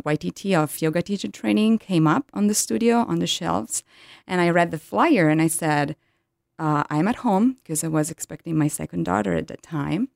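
Low-voiced Italian woman telling her story. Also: gravelly, a little mellow, no echo, clean studio sound. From Italy. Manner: hesitant, searching for English words with many ums. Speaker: guest, yoga teacher. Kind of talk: interview storytelling.

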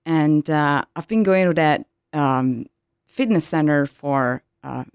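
A woman in her thirties from Italy with an Italian accent, a yoga teacher telling her story in an interview, pausing often to find words in English. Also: low voice, clean tone, no room sound, studio quality. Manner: hesitant, searching for English words with many ums.

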